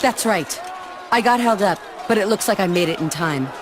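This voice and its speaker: A woman's voice: mid-range voice, animated, moderate pace, a crowd in the background, natural voice. A woman playing a grave, resolute warrior burdened by hidden despair in a video game cutscene. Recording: clearly noisy game audio.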